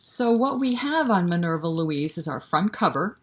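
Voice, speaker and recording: A woman with a fairly low voice reads for a children's storytime. She speaks straight to young listeners, encouraging them to join in, with a rhythmic feel suited to nursery rhymes. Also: big pitch swings, moderate pace, breathy edge. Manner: encouraging, interactive, talking directly to young children. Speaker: children's library storytime reader.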